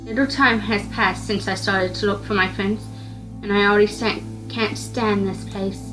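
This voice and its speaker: A woman's voice: medium pitch, steady tone, normal speed, poor audio. A woman reading scripted narration from a visual novel aloud, occasionally stumbling and repeating words. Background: clear background music.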